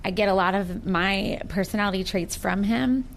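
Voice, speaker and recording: A woman's voice: medium pitch, normal speed, gravelly, a little hiss or hum. An American woman in her forties talking earnestly and reflectively in a sincere personal conversation. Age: 40s. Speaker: participant in a recorded one-on-one conversation.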